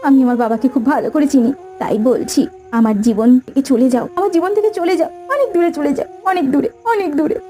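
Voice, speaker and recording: A female actor as a lovelorn young bride in a cartoon melodrama. Her voice is high and soft in tone, with earnest, emotional delivery. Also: fast talker, lightly acted. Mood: anguished. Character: tearful young bride, rich man's devoted daughter. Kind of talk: Bengali cartoon story acting.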